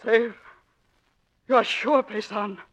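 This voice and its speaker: A woman's voice: on the high side, fairly even intonation, slow. A woman playing a suspicious, wealthy older mother in a 1940s radio drama, with a melodramatic manner. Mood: anxious. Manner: melodramatic, fretful. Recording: muffled 1940s radio recording.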